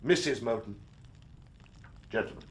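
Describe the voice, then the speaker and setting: An actor playing a stiff, haughty upper-class English aristocrat in a period radio drama, pompous and formal. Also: male voice, mid-range voice, unhurried, a little hiss or hum, lightly acted. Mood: cold, offended.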